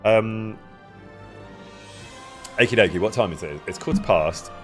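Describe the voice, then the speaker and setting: A man casually chatting with his live-stream viewers, greeting them by name and laughing often, with a loud voice that carries. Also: lowish voice, unhurried, soft background music. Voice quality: loud, carrying voice. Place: indoor room near an event space.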